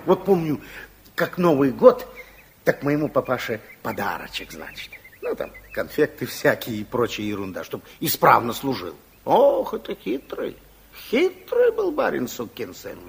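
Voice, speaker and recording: An actor playing a chatty, folksy old retired plumber in a 1960s radio play, colloquial, exclamatory and deferential, with a creaky voice. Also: male voice, medium pitch, wildly swooping pitch, unhurried, full character voice. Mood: animated, scornful. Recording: 1960s radio recording, faint noise.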